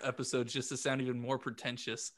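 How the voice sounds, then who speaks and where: A man's voice: mid-range voice, flat delivery, brisk, fairly bright tone. A man co-hosting a podcast in casual, joking banter. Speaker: podcast co-host.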